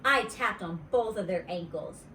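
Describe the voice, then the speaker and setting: Animated woman reading a storybook, roomy echo. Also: lowish voice, normal speed. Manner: expressive, dramatizing dialogue. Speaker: teacher reading a children's book aloud. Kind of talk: animated storytime read-aloud in a child's first-person voice. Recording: roomy echo, distant mic, faint noise.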